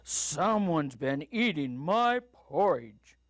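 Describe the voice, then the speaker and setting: A woman voicing a big, stern, scolding father bear with a booming voice in a children's story. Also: sing-song, slow, no echo, studio quality, heavily acted. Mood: indignant.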